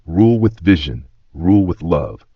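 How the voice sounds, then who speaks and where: A man voicing a noble, humble father-king in an audio saga, soft, solemn and stately. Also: sits low, sing-song, normal speed. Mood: solemn farewell. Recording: roomy echo, slightly dull sound.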